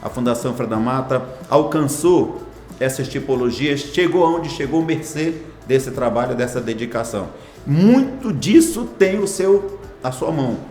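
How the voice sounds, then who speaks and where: A man's voice: medium pitch, lively intonation, unhurried, a little mellow, roomy sound. A man in his sixties, a hospital foundation director, answering interview questions in a formal, ceremonious way with long lists of phrases. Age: 60s.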